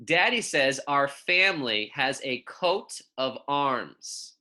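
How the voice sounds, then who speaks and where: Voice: medium pitch, animated, takes it slowly, sharp tone. A teacher giving a read-aloud lesson for young children in a friendly, informal, encouraging way. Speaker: elementary school teacher.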